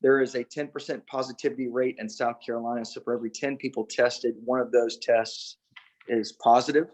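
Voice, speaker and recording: A man giving a matter-of-fact, measured briefing as a city official on a conference call. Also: mid-range voice, little pitch movement, a little mellow. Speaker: city emergency management official. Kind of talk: spoken briefing to a council meeting.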